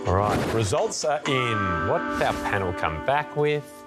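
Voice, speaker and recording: An Australian man hosting a lively studio game show, joking and laughing with his panel. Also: mid-range voice, loud music behind the voice. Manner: jokey, quick to laugh. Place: TV studio with live audience.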